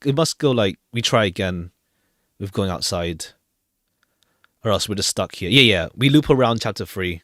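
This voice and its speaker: Lively, low-voiced man rambling on stream. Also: dry recording. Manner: rambling, hesitant, repeats phrases. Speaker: live streamer. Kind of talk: casual game stream commentary. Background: faint jazzy game music.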